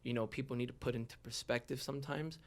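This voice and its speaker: A man's voice: medium pitch, fairly even intonation, quick pace. A man in his early twenties talking openly and reflectively as a guest in a casual podcast interview, often adding "you know". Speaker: guest, rapper.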